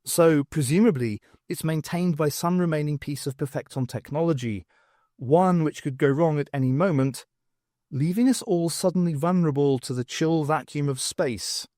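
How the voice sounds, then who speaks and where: A man voicing a worldly, brisk and wry woman archaeology lecturer in an audiobook. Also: a little high, mellow, dry recording, clean studio sound, natural voice. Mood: brisk, instructive. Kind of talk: audiobook character acting.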